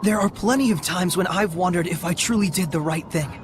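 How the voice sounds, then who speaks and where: An actor playing an earnest, compassionate young hero in a video game, with a persuasive way of speaking. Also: male voice, high voice, a little fast, background noise, lightly acted. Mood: gentle, earnest. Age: young adult. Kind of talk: dramatic video game voice acting. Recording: music behind the voice.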